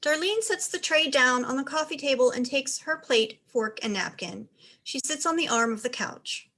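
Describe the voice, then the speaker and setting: A woman's voice: sits high, animated, normal speed, sharp tone. A woman narrator reading stage directions in scripted narration for a play reading.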